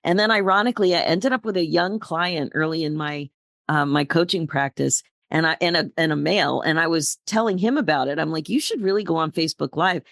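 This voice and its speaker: A woman's voice: low-pitched, brisk, creaky, fairly bright tone, no room sound, clean studio sound. A middle-aged woman hosting an interview podcast in an effusive, encouraging way. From New England, United States. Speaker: podcast host and life coach.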